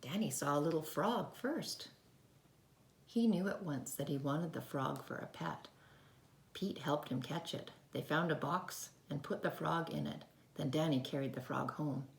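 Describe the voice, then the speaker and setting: Female early-years storyteller, low creaky voice, simple child-directed delivery, roomy home recording. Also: a little slow. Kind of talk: read-aloud storytelling for young children. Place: indoors at home.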